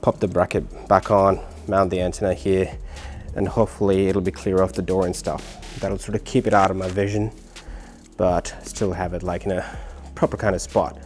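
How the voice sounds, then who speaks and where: A man's voice: deep voice, little pitch movement, normal speed, a little mellow, soft background music. A man giving a casual hands-on explainer for a vlog, informal and thinking aloud as he works. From Australia. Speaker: vlogger showing a DIY car radio install.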